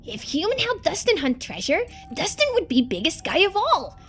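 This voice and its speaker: Squeaky little rodent, wildly singsong. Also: female voice, sing-song, normal speed, fairly bright tone, soft background music, full character voice. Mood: earnest, musing. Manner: broken, childlike grammar, speaks of self in third person. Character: small talking rodent who nibbles things, broken speech, proud of simple treats. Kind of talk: video game character voicing.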